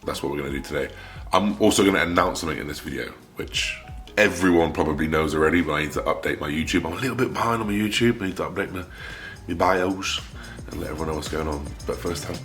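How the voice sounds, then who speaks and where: A man with a raspy voice and a half West Country accent, chatting casually to camera as a fitness coach and swearing now and then. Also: deep voice, fast, fairly bright tone. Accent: half West Country English. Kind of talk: casual vlog talk to camera. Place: home, then gym. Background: music.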